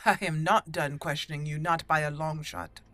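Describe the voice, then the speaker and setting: A woman hosting a roleplay let's play, voicing dialogue deliberately and theatrically and adding her own commentary. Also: deep voice, steady tone, fairly bright tone. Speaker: gaming channel host.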